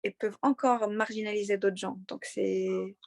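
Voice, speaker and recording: A young woman from Morocco with a light Moroccan accent, answering interview questions in an earnest, halting way with many fillers and restarts. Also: medium pitch, steady tone, darkish tone, no echo, studio quality. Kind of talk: conversational interview.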